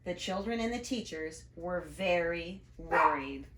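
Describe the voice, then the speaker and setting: Woman, teacher read-aloud, unhurried, expressive, deliberate emphasis, roomy echo. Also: fairly low pitch, background noise. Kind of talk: children's storybook read-aloud with asides.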